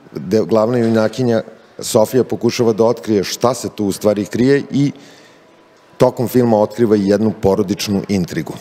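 A middle-aged man, a film director, describing his film in an interview in a measured, relaxed way. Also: lowish voice. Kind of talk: interview answers. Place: festival press area, large hall. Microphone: handheld mic. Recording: hall reverb.

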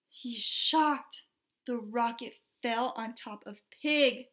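A woman in her thirties, a teacher reading a picture book aloud expressively, pausing to exclaim and put questions to children. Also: a little high, fairly bright tone. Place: small indoor room with bulletin board.

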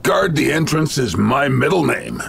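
A man voicing a cocky, wisecracking American action-hero soldier in a video game, with deadpan swagger. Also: mid-range voice, unhurried, gravelly, background noise, exaggerated voice. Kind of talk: video game cutscene acting.

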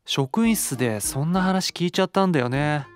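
Drama CD: innocent, eager teenage boy male actor, softly boyish, animated pitch, faint music behind. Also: normal speed, natural voice. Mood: playful. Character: eager, innocent-sounding male student who loves fighting. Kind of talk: audio drama acting.

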